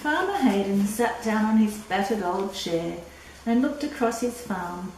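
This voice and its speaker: An older woman reading a picture book aloud to children, deliberate and drawn-out, with little asides. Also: mid-range voice, animated, darkish tone. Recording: echoey room, distant mic, faint noise.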